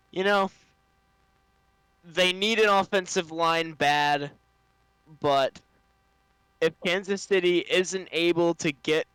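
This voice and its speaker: A man's voice: on the high side, a bit bright, clean tone, dry recording, rough recording. A man on a sports podcast panel, chatting casually with informal, emphatic phrasing.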